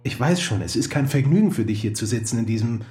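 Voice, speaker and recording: A man's voice: medium pitch, lively intonation, quick pace, dark tone, lightly acted. An actor playing an earnest, persuasive male friend in a radio drama.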